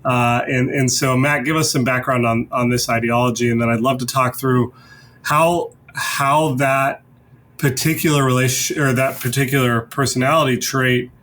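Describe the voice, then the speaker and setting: A man's voice: mid-range voice, steady tone, moderate pace, a bit bright, a little hiss or hum. A man hosting a discussion podcast in a conversational style. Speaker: podcast host.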